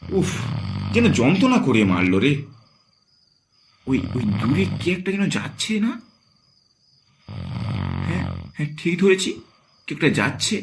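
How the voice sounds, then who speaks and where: A man's voice: fairly high pitch, huge pitch swings, unhurried, long pauses, noisy background, full character voice. A man playing a serious, polite young investigator in a horror cartoon, speaking earnestly and expressively. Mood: irritated, urgent. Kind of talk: Bengali horror cartoon voice acting.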